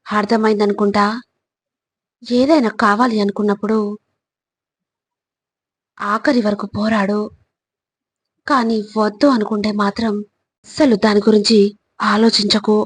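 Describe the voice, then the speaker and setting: A woman reading scripted motivational quotes in short, deliberate phrases, with an instructive, exhorting manner. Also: medium pitch, fairly even intonation, husky, dry recording. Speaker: motivational narrator.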